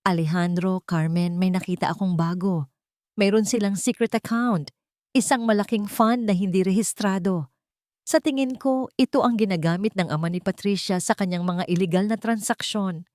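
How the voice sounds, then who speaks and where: A woman's voice: medium pitch, normal speed, a little mellow, no echo, clean studio sound, natural voice. A woman voicing an older fatherly male informant in an audio storybook drama, urgent and informative.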